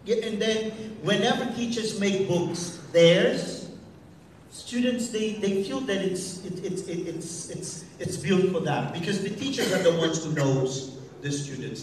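Brazilian man, host, high voice, slow and hesitant, echoey hall, far off. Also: a little hiss or hum. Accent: Brazilian Portuguese. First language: Portuguese. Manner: hesitant, many restarts and fillers. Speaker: host of a teacher training event. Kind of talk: on-stage talk. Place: large hall, on stage. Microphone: far audience camera.

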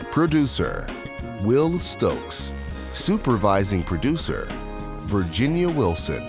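Slow, swooping male credits announcer. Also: lowish voice. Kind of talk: scripted podcast credits narration. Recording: music clearly playing behind.

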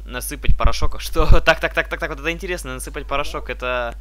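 A tired young man casually commenting as he plays a game, chatty and excitable, thinking aloud. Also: on the high side, quick pace. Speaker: let's play host.

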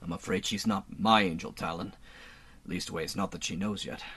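A man playing a breezy, dim-witted Earthman hero in a radio serial, earnest and melodramatic, with a husky voice. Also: low-pitched, steady tone, normal speed, fairly bright tone, a little hiss or hum. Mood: wistful. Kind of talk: old-time radio serial acting.

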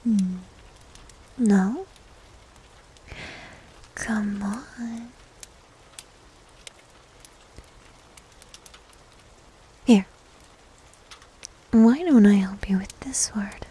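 Woman playing a slow, whispering succubus demon girl. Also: medium pitch, heavily acted, low-quality recording. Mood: teasing. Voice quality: soft, breathy whisper. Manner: slow, coy, teasing. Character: needy, teasing goth succubus demon girl, centuries old. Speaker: ASMR roleplay performer. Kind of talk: cinematic ASMR roleplay.

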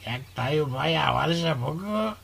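A man's voice: a little high, expressive, gravelly, fairly bright tone, slight background noise, exaggerated voice. An actor playing a friendly, gossipy village man in a radio drama, speaking Dari with an Afghan accent. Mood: confiding.

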